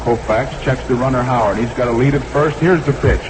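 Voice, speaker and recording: A man's voice: mid-range voice, fairly quick. A man doing live 1960s radio baseball play-by-play in short, clipped phrases, repeating the count. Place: ballpark broadcast booth. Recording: noisy vintage 1960s radio broadcast.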